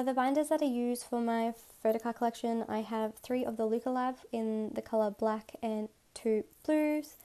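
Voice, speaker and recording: A woman's voice: fairly high pitch, warm tone. Woman with a cold, chatty voice-over. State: has a cold. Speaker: photocard collector, channel host. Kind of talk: casual voice-over narration of a collection. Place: recorded separately as voice-over, not in pictured room. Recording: music playing underneath.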